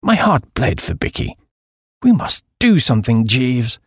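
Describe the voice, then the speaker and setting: A man voicing a flighty young upper-class English gentleman, breezy and exclamatory, with a posh British accent. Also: mid-range voice, big pitch swings, a little slow, breathy edge, no echo, studio quality. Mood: alarmed, dismayed.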